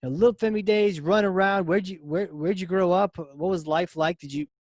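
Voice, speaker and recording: A man's voice: sits high, brisk, darkish tone, no room sound, clean studio sound. A man hosting a podcast interview, chatty and enthusiastic, often restarting his questions as he goes. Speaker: podcast host. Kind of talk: conversational interview.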